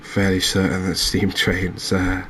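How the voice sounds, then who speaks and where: A man's voice: deep voice, completely flat delivery, a little slow, a bit bright, a little hiss or hum. A man hosting a cozy ASMR gaming video, commentating on his play in a rambling, musing way with mild exclamations. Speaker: gaming channel host.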